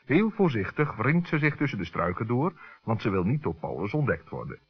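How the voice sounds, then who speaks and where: A man narrating a children's radio play in a scripted storytelling style, with a husky voice. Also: mid-range voice, very animated, brisk. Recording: dull lo-fi old radio recording.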